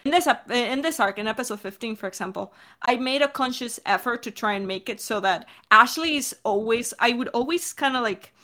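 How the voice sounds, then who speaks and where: A woman's voice: on the high side, expressive, a little fast, bright, forceful tone. A woman explaining her work as a director and editor in an informal, casual Q&A style. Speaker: audio drama director and editor. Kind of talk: casual Q&A discussion.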